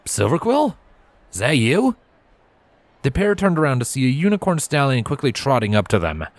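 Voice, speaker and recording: A man's voice: medium pitch, no echo. A man reading a scripted story aloud as its narrator. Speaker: fan fiction reader.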